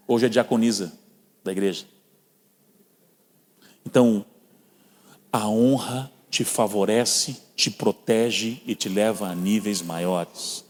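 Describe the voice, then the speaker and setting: A man with a Brazilian Portuguese accent preaching a sermon, telling personal stories and calling the listeners brothers. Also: fairly low pitch. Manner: storytelling, addresses listeners as brothers. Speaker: guest preacher. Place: church hall. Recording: long reverb of a church hall.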